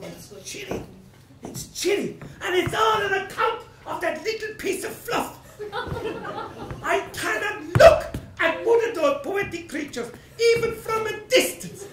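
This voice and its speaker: A woman's voice: sits high, a bit bright, heavily acted. A woman playing a blustering, angry male landowner who rants in exclamatory, self-pitying outbursts, acting out a stage play. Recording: roomy echo, from a distance.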